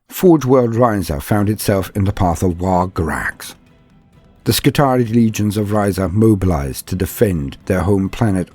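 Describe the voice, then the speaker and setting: A man reading scripted dramatic narration in a grave, measured storytelling manner. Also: lowish voice, mellow, husky, dry recording. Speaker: narrator of a fan lore channel.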